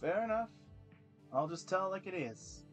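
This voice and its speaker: A man's voice: high-pitched, animated, moderate pace, faint music behind, voice pushed up. A man voicing a teasing, brash older male college buddy in a visual novel. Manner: playful, ribbing, brash.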